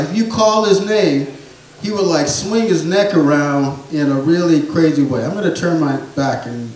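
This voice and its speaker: A man telling rambling family stories in a stand-up set, with a soft voice he says he has. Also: fairly high pitch, heavy reverb, far from the mic, poor audio. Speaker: stand-up comedian. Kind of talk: storytelling stand-up comedy. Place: small theatre or bar stage.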